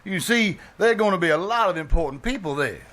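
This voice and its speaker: A man's voice: high-pitched, animated, quick pace, fairly bright tone. A man playing an easygoing, wisecracking husband and father in a 1940s-style radio comedy. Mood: dismissive, reassuring. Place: live small theater stage.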